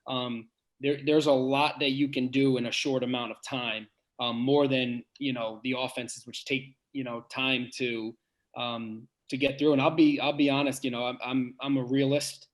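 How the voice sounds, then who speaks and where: Coach guy with a big voice, earnest, lots of pauses and restarts, middle pitch. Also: flat delivery. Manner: earnest, thinks aloud with many pauses and restarts. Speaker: head basketball coach. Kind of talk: podcast interview answers.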